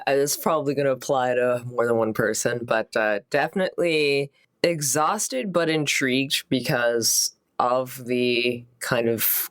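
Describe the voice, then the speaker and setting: Tabletop player, medium pitch, halting informal talk, dry close sound. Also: a bit bright, dry recording. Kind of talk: casual chat.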